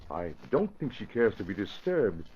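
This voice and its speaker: Male as polite, hesitant manservant, lively intonation, muffled old radio sound. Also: on the low side, normal speed. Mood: polite, flustered. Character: deferential, hesitant household butler. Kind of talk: 1940s radio drama acting.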